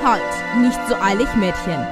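A woman's voice: medium pitch, lively intonation, normal speed, loud music behind the voice, close to an everyday voice. A woman playing a menacing, elegant villainess in a radio play, commanding and mocking as she speaks. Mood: threatening, smug. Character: menacing, elegant villainess holding children captive.

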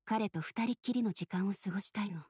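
A woman playing a sorrowful, guarded mourner in an anime game, softly spoken, breathy, polite but curt and withdrawn. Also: mid-range voice, quick pace, no echo, clean studio sound, natural voice. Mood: cold, sorrowful.

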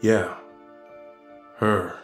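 A guilt-ridden ordinary guy in a horror audio drama. He speaks in a slightly low, hesitant, subdued voice with music behind him. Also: flat delivery, extremely slow. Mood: evasive, guilty. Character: Doug, conflicted, evasive, guilt-ridden ordinary man.

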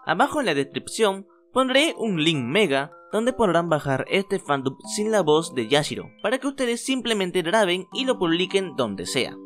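A man's voice: high voice, normal speed, hardly any pauses, soft background music. A man, casually talking to his viewers as a fan dubber. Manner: relaxed, friendly address to viewers. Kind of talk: casual video outro.

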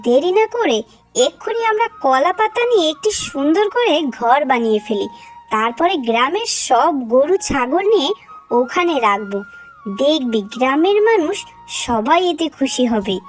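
Woman as a high-voiced, earnest big sister. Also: sing-song, moderate pace, soft background music, poor audio. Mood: encouraging. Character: caring, devout older sister, young village girl. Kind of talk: cartoon story acting.

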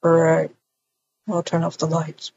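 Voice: mid-range voice, completely flat delivery, measured pace, darkish tone, slight background noise, lightly acted. A performer playing a laid-back, cocky young man in a casual roleplay, speaking in an offhand, teasing way. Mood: low-key. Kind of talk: casual improvised roleplay acting. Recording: dull, lo-fi home microphone.

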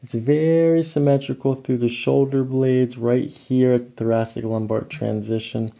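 A man's voice: medium pitch, steady tone, moderate pace, vocal fry, mellow. A man in his thirties speaking as a chiropractor, methodically questioning a patient and explaining each step of an exam. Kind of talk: clinical patient consultation and exam commentary. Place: small carpeted chiropractic clinic room and hallway.

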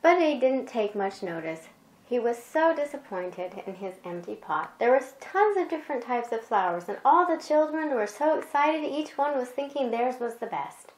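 A woman telling a children's bedtime story in an unhurried, expressive way, as if to a child beside her. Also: on the high side, reverberant, low-quality recording.

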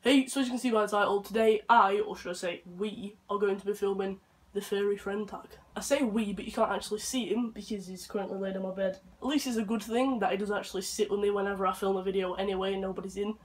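A young man, hoarse from a flu, chatting casually in his bedroom and rambling softly to his dog. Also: high voice, steady tone, fast. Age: young adult. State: hoarse from flu and chest infection. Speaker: vlogger. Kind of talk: casual chat. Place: bedroom, indoors.

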